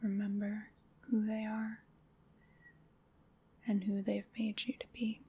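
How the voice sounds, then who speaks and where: Woman doing a soft witch asmr ritual, super breathy whispering, really slow, calm and ceremonial. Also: mid-range voice. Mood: gentle, reverent. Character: gentle, solemn witch leading a Samhain ritual. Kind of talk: ASMR roleplay.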